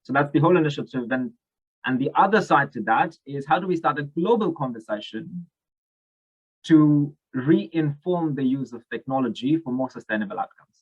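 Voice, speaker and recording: A man's voice: mid-range voice, lively intonation, normal speed, husky, lo-fi sound. A man with a South African accent, speaking thoughtfully at a conference over a video call, with many fillers and restarts.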